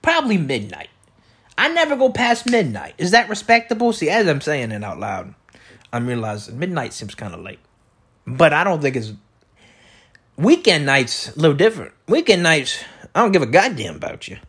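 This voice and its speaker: A man with a loud, projecting voice rambling through a comedic solo monologue as a podcast host, repeating himself and tossing out rhetorical questions. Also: on the high side, big pitch swings, moderate pace, husky. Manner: repetitive, riffing with catchphrases and rhetorical questions. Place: indoor room beside an open window.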